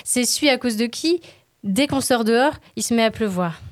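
A woman's voice: fairly high pitch, expressive, normal speed, no room sound, clean studio sound. A woman podcast columnist telling stories and chatting in an informal, playful way. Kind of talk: storytelling and casual chat.

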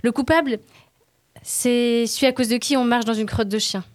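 A woman's voice: a little high, steady tone, normal speed, no echo, clean studio sound. A woman telling a playful, dramatic story as a podcast columnist.

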